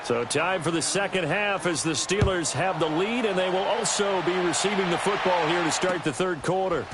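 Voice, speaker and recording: An actor playing a polished American play-by-play announcer calling football in a video game, emphatic on big plays. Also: male voice, high voice, normal speed, close to an everyday voice. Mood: steady, professional. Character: upbeat male American football play-by-play broadcaster. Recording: noisy game stream with stadium ambience.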